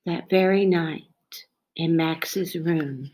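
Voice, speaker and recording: Very slow, expressive woman reading a storybook. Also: deep voice, a little hiss or hum. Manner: expressive, with drawn-out phrases and hushed dips. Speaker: storybook reader. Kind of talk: dramatic children's picture book read-aloud.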